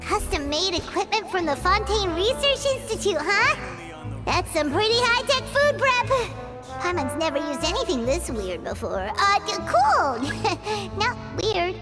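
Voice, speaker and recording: A small childlike fairy sidekick from a video game. The voice is squeaky and sky-high, swinging eagerly up and down with curiosity. Loud music plays underneath. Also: female voice, sing-song, a little slow, heavily acted. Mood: awkward, backtracking. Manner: refers to self in third person, exclamatory.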